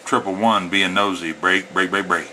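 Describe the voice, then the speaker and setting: A man's voice: low-pitched, steady tone, normal speed, heavy background noise. An American man with a folksy, plain-spoken way, talking casually as a CB radio hobbyist. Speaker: amateur CB radio operator. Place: indoors at a home radio setup.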